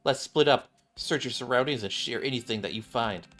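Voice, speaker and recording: A man reading a calm, commanding female squad leader. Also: mid-range voice, faint music behind, lightly acted. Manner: measured, formal.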